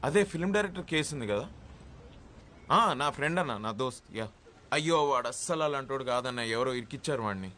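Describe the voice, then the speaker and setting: A man's voice: mid-range voice, animated, fast, bright, forceful tone, slight background noise. An actor playing an anxious young man who runs a café and begs for help for a friend. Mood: pleading. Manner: pleading, polite.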